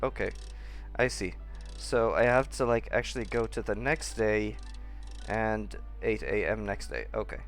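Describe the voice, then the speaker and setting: A man's voice: fairly low pitch, a little slow, vocal fry, faint music behind. A man streaming a game with casual commentary, rambling and thinking aloud as he plays.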